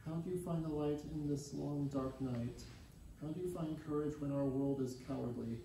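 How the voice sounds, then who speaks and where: A young adult man reading a poem aloud in a measured, solemn recitation. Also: a little high, gravelly, dark tone. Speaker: poetry reader. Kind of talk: poetry recitation. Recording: very echoey, distant, faintly noisy recording.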